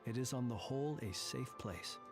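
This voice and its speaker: A male actor plays a grave, gracious tomb guardian from a fantasy video game. He speaks in a mid-pitched male voice with measured, ornate politeness. Faint game music runs behind him. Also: lightly acted. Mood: courteous. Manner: formal, measured, ornate courteous speech. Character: solemn, courteous male guardian of haunted cemetery tombs. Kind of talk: video game character dialogue.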